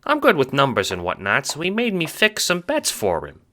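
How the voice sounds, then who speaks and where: A man voicing a cheerful, airheaded little minion creature in an audio drama, excitable, slangy and boyish. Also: medium pitch, moderate pace, slight background noise, voice pushed up. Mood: earnest, chatty. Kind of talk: fan audio drama character acting.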